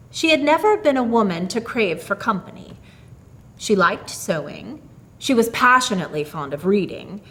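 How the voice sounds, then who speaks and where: Female storyteller: expressive narration, very varied intonation, dramatic and deliberate phrasing. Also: medium pitch.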